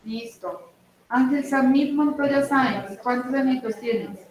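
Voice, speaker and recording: A young adult woman teacher with a Colombian accent, taking roll in an online class on a laptop mic, brisk and repetitive. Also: high-pitched, darkish tone. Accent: Colombian Spanish. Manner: brisk, repeats names and ages, says "listo". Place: indoors at home on a video call. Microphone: laptop mic on video call. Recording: very echoey room.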